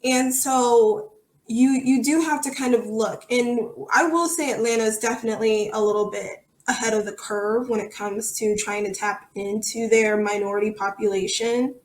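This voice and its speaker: A woman's voice: on the high side, steady tone, moderate pace, slight background noise. A woman in her thirties giving candid, emphatic, opinionated answers in a conversational interview. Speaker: podcast guest, soccer supporter. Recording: echoey room.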